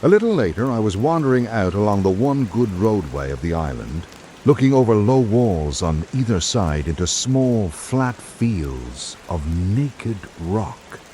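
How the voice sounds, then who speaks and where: A man hosting and narrating in a scripted old-time radio drama style, with dramatic, measured delivery. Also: fairly low pitch, big pitch swings, warm tone, a little hiss or hum.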